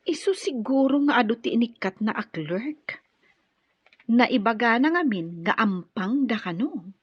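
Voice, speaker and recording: Slow, teasing office gossip. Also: female voice, on the high side, lively intonation, breathy edge, no echo. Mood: gossipy. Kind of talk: Ilocano radio drama acting. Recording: dull lo-fi audio.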